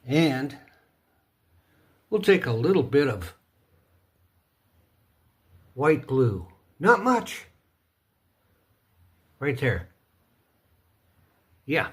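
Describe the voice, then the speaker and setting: Man, unhurried DIY video host, mid-pitched voice, folksy and playful, casual how-to delivery. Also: sing-song, slightly rough. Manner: folksy, playful, talks himself through steps. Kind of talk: casual hands-on tutorial narration.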